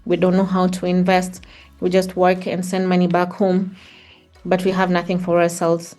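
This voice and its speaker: A middle-aged woman with a Kenyan accent speaks in a slightly low voice. She tells personal stories reflectively, in a conversational way, as an interview guest. Faint music sits underneath. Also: monotone, normal speed, darkish tone.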